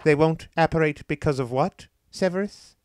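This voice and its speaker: A man voicing an imperious, smug dark wizard lord in a comic parody audiobook, droning his orders. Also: on the high side, normal speed, clean tone, voice pushed up, natural voice. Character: high-pitched noseless dark lord villain, drawling and smug.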